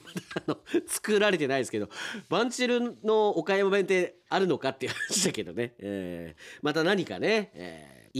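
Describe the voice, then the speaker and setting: A man hosting a casual radio show, chatting through listener mail with appreciative comments and asides. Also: medium pitch. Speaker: radio host and announcer.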